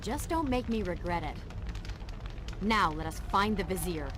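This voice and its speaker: An actress playing a proud, wary young warrior princess who speaks briskly and challengingly in a video game. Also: female voice, on the low side, lively intonation, music in the background. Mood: grudging. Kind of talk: video game character acting.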